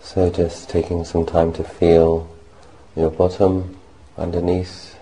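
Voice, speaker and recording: A man leading a guided meditation as a monk, speaking gently and unhurriedly in short phrases with long pauses. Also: low voice, monotone, slight background noise, poor audio.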